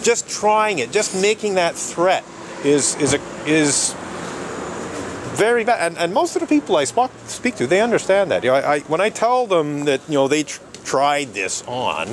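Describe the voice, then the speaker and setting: A man with a fairly high, animated voice argues with insistence on a busy street, and often repeats himself to hold his ground. Traffic and city noise run clearly behind him in the outdoor recording. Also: normal speed. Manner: argumentative, insistent, laughs between points. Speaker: street protester. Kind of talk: heated street argument.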